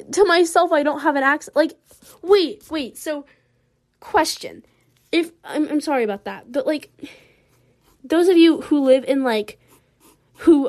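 A young woman with a Southern American accent chats on her own podcast while she draws. She rambles through lots of fillers and small giggles, and her voice sits a little high. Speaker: podcast host. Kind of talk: casual solo chat while drawing.

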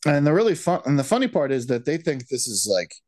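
A man's voice: on the high side, big pitch swings, fast, a little mellow, dry recording. A man co-hosting a podcast, recapping a movie in casual chat with lots of restarts. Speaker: podcast co-host.

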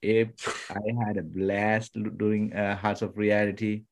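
A man from Sri Lanka, a podcast guest chatting casually, talking in halting bursts with many restarts and sudden emphasis. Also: lowish voice, little pitch movement, gravelly. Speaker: podcast guest, former contestant. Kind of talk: casual chat.

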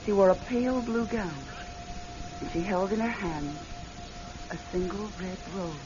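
A woman's voice: medium pitch, unhurried, natural voice. An actress playing an anxious, loving, practical woman in a radio drama, telling her story and speaking in an earnest, dramatic way. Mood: hushed, suspenseful. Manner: hushed, dramatic storytelling, earnest pleading in dialogue. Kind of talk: radio drama acting and first-person suspense narration. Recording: dull lo-fi radio recording, music behind. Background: music.

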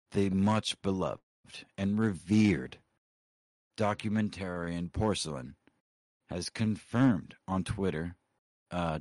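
A man's voice: low voice, a little mellow, no echo. A man co-hosting a livestream talk show, bantering loosely and brashly with heavy swearing.

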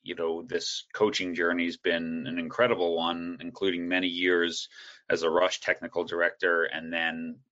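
A man guest on a video call, telling his coaching story in a relaxed, rambling way with many fillers and restarts. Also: sits low, moderate pace. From United States. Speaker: guest speaker, soccer coach educator. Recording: dull, lo-fi call audio.

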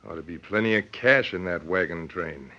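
An actor playing a cunning, ruthless outlaw gang leader in a western radio drama, giving brisk, commanding orders. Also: male voice, deep voice, pushed and bright. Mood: scheming. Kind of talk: old-time western radio drama acting. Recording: muffled, noisy vintage radio recording.

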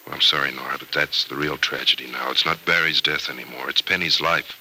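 A man acting a somber, grieving young man in an old-time radio soap opera, earnest and deliberate. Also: very deep voice, steady tone, bright, forceful tone, breathy edge, heavily acted. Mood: troubled, somber. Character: somber young man grieving over an injured former fiancée. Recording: noisy vintage radio drama recording.

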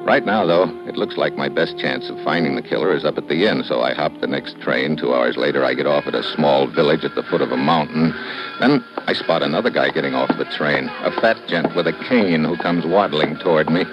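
Deep-voiced hard-boiled American radio secret agent. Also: male voice, a little fast. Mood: wry narration. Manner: dry, slangy, hard-boiled. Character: tough wisecracking American secret agent and narrator. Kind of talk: 1950s radio adventure acting. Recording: muffled old radio recording with music.

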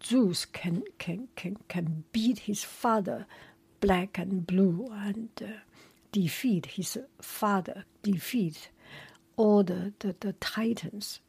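A woman, not a native English speaker, whispering a relaxed chat and reading a story aloud with many hesitations. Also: mid-range voice, slow pace, vocal fry, slightly rough.

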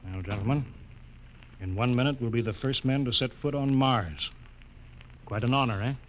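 A man's voice: mid-range voice, normal speed, close to an everyday voice. A man acting in a classic radio drama as a level-headed, commanding spaceship captain, clipped and authoritative. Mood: firm command. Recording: noisy old radio drama recording.

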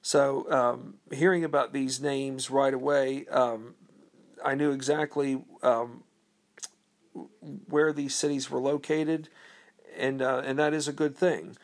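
A man's voice: medium pitch, fairly even intonation, takes it slowly. A man giving an unscripted history talk, hesitant and full of ums and uhs, asking his listeners questions. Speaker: history presenter.

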